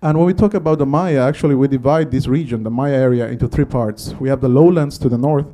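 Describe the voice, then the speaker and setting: A man in his thirties with a Guatemalan Spanish accent speaks as a conference presenter. His voice is very dark and soft-edged, at an ordinary male pitch. He lectures conversationally, pausing often, into a handheld microphone in an auditorium. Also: a little hiss or hum. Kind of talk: public lecture.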